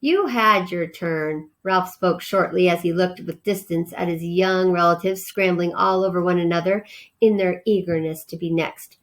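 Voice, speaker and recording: A woman's voice: on the low side, level tone, normal speed. Woman reading a children's story aloud. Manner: informal, explains words simply.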